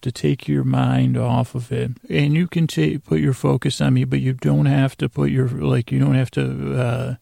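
A man hosting a bedtime podcast in a meandering monologue, mumbling and stumbling with long pauses. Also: medium pitch, vocal fry, mellow, no room sound, clean studio sound. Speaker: podcast host.

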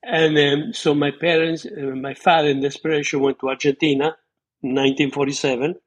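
Man in his eighties, Italian accent, Italian native speaker, slightly high voice, earnest, halting, restarts often. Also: level tone. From Italy. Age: 80s. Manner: earnest, searching for words, frequent restarts. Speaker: guest, retired surgeon and author. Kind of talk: reminiscing interview storytelling.

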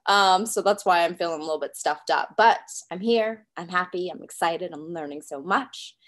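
Stuffed-up, bubbly woman podcast host. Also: fairly low pitch. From California. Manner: bubbly, gushing, laughs often. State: stuffed up, nasal from a cold. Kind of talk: upbeat podcast intro.

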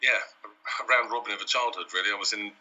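A man's voice: fairly low pitch, steady tone, fast, bright, forceful tone. A man from Wales as a guest on a podcast, talking in an easygoing, reflective way and laughing often, heard over an internet call. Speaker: guest, company CEO. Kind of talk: casual interview chat. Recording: dull internet call audio.

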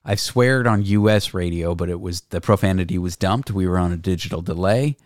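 A man's voice: deep voice, a little fast, no room sound. Canadian man, podcast host, self-deprecating and rambling, dry room sound. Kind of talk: casual chat.

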